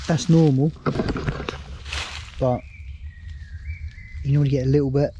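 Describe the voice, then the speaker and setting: A British man, slightly hoarse, talking casually to camera outdoors in the woods, rambling and thinking aloud with many ums and restarts. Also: medium pitch, fairly even intonation, unhurried, dull audio, noisy background. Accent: British English. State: slightly hoarse, losing his voice. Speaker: outdoors vlogger. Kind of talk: casual vlog talk to camera.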